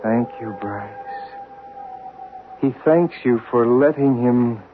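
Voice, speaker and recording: An actor playing a male medium speaking slowly and haltingly from a deep trance, in a 1970s radio drama. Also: music in the background, close to an everyday voice. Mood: entranced. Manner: very slow, halting, dreamy. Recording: narrow, hissy old radio broadcast.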